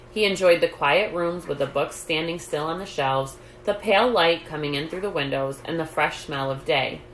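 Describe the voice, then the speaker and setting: Low-voiced, creaky woman reading a storybook. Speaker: storytime reader, host. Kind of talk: reading a children's book aloud. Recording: roomy echo, light background noise.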